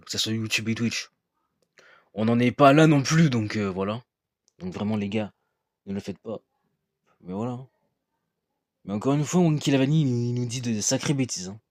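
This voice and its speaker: Low-voiced man reacting, dry sound. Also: normal speed, long pauses, dry recording. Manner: relaxed, repeats fillers, lectures viewers. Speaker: reaction video host. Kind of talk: casual reaction commentary.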